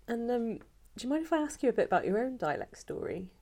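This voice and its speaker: A woman interviewing someone for an oral history project, asking gentle, polite questions. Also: a little high, very animated, fast.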